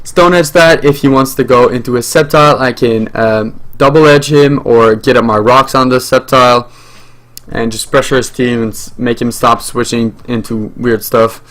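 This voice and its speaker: A man's voice: mid-range voice, steady tone, normal speed, a bit bright. A young man whose first language is French, hosting his own channel and giving live, rambling commentary over a game with lots of fillers and restarts. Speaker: gaming channel host.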